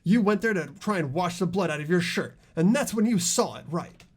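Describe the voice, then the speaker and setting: A man voicing an earnest high school boy in a mystery game, explaining a case in a deliberate, dramatic way. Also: expressive. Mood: grave, determined. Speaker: amateur voice actor in a game playthrough.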